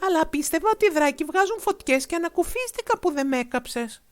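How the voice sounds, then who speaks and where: A woman voicing a brave little boy woodcutter, calling out high and loud. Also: expressive. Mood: apologetic. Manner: high and shouting. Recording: soft music underneath.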